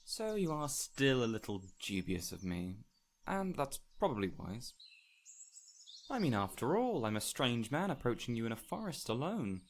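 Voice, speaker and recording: Peculiar fey gentleman, played by a man: highly animated intonation, eager and courteous, theatrical roleplay delivery over faint woodland sounds. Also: moderate pace, a little hiss or hum. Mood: apologetic, eager. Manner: flowery, excitable, theatrical phrasing. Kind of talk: immersive audio roleplay addressed to the listener.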